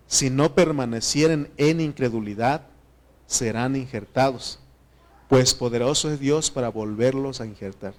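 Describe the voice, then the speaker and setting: A man from Mexico with a Mexican accent preaching a sermon to his church, earnest and conversational, often pausing on fillers and restarting phrases. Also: mid-range voice. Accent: Mexican Spanish. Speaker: pastor.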